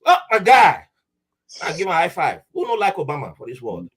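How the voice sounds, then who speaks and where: Animated nigerian man telling a story. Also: high voice, brisk, husky. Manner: animated, mixes Nigerian Pidgin, acts out quoted voices. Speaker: podcaster guest. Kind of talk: casual storytelling chat.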